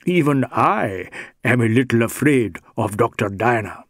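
A man's voice: medium pitch, animated, unhurried, fairly bright tone, dry recording, studio quality. A man voicing a big, brawny Sikh rogue in an audiobook, sly and teasing, with wheedling mock deference. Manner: wheedling mock deference, Indian English phrasing. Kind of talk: audiobook character dialogue.

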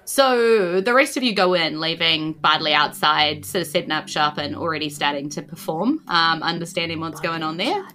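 A woman's voice: low-pitched, lively intonation, moderate pace, few pauses, bright, forceful tone. A woman with a New Zealand accent improvising tabletop game narration as game master, in an informal, conversational manner. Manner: informal, conversational, many "you know"s.

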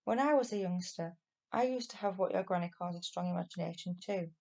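A woman's voice: on the low side, brisk, vocal fry, a little mellow. A woman voicing a stern but kindly village policeman with colloquial speech, in a children's storybook reading. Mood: kindly, patient. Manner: colloquial, working-class grammar.

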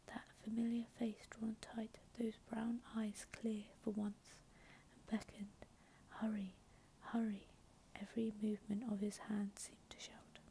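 Softly whispering woman storyteller. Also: a little high, a little hiss or hum, low-quality recording. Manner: soft unvoiced whisper throughout. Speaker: narrator. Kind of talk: whispered ASMR reading of a fantasy novel.

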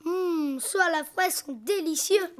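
A performer voicing a cheeky, excitable little boy donkey in a cartoon, with a childlike voice and a sing-song, self-important way of talking. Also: slow. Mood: delighted. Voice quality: high, childlike. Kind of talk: cartoon voice acting. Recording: music behind the voice.

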